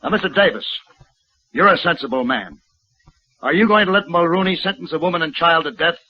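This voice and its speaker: A man playing a calm, resolute and wryly gallant gunman in a 1950s western radio drama. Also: fairly high pitch, a little fast, dry recording, close to an everyday voice. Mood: impassioned, indignant. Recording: muffled, noisy vintage radio recording.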